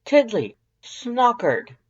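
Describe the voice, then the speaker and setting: A woman's voice: fairly high pitch, very animated, very slow, a little mellow, husky, lo-fi sound, exaggerated voice. A woman voicing a prissy, verbose male skeleton in an audio drama, with a formal, grandiose, carefully enunciated delivery. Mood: animated, playful. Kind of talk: fan fiction audio drama acting.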